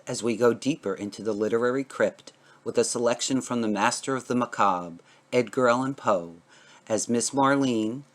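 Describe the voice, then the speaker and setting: A man hosting with a theatrical, spooky scripted introduction to dramatic readings. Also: lowish voice.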